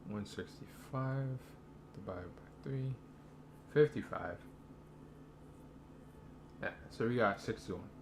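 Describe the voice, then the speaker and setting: A man's voice: fairly low pitch, slow, pauses often, a little mellow, slight background noise. A young man commentating casually over his own gameplay, laid-back and thinking aloud with slang. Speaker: gaming streamer.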